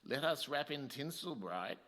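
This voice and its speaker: A man's voice: medium pitch, expressive, measured pace, fairly bright tone. A man playing a gleefully vicious Roman emperor, relishing cruel rhymes theatrically in a radio play. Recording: echoey hall, noisy crowd behind.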